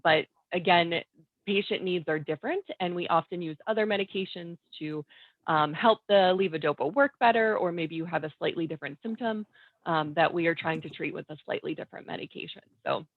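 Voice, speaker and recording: A woman moderates audience questions in a webinar, polite and dotted with ums. Her voice sits a little low. The sound is dry and tinny, like a phone line. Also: no room sound. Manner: polite, frequent um fillers.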